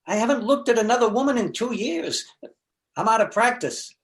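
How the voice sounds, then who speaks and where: A man playing a neurotic, self-pitying jilted husband in a stage comedy reading, meek and halting. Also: very high-pitched, lively intonation. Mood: reluctant, defensive. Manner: self-deprecating, halting, stammering. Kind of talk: stage comedy readers theater.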